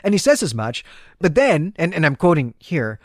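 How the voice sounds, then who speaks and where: A man's voice: fairly high pitch, very animated, normal speed, dry recording, clean studio sound. A man hosting a podcast, recapping a story in a conversational, enthusiastic, explanatory way. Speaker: podcast host. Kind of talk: conversational literary recap with read-aloud passages.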